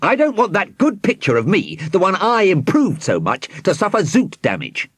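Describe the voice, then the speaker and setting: Guy doing bratty wicked kid villain from a story, high voice, cocky, taunting, sneery. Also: huge pitch swings, normal speed, a bit bright, husky, no room sound, clean studio sound, heavily acted. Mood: insulted, boastful. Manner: boastful, sneering.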